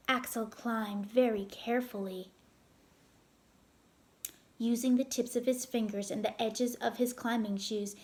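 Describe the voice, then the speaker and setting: A woman narrating a children's story aloud in a deliberate, expressive way. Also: a little high.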